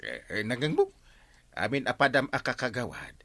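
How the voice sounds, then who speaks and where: A man's voice: mid-range voice, huge pitch swings, moderate pace, pushed and bright, no echo, full character voice. A man with an Ilocano accent playing an easygoing, hesitant, gossip-loving village captain in a radio comedy drama. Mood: eager, insistent.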